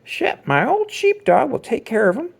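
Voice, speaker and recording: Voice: high voice, wildly swooping pitch, normal speed, gravelly, slightly rough, pitched above the performer's own voice, heavily acted. A storybook reader voicing a folksy male farmer bear who scolds and explains in a country manner. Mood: folksy, instructive. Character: angry-sounding, folksy old farmer bear.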